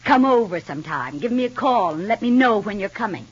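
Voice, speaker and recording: Defensive single woman, mature, pointed, expressive pitch, slightly rough tone, old radio drama. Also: a little fast. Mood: reproachful. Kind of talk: 1940s-50s radio soap opera acting. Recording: muffled old radio recording.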